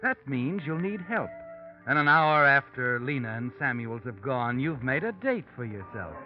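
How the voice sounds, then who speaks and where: American male actor as ominous mystery narrator, lively delivery, old radio sound with strong music behind. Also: medium pitch, normal speed, lo-fi sound, lightly acted. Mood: knowing, ominous. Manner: taunting, addresses the character as you. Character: sinister all-knowing male narrator watching from the shadows. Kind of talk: 1940s radio noir narration.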